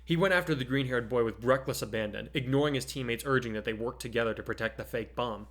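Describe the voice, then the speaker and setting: A man's voice: mid-range voice, quick pace. A man hosting in an informal way, talking and reading his own fiction aloud. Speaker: podcast host and story author. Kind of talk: casual chat and dramatic story reading.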